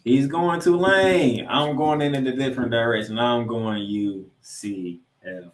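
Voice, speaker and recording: A man co-hosting a sports podcast, previewing games and picks with drawn-out, emphatic announcer-style introductions. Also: mid-range voice. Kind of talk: college football game previews and picks. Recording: roomy, echoey sound.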